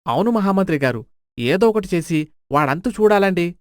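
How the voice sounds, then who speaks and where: A man's voice: sits high, expressive, normal speed, dry recording, studio quality. A man voicing a flustered, deferential royal minister who complains, in a dramatised folk tale. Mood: worried, grumbling. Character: flustered, fawning royal minister, eager for harsh punishment.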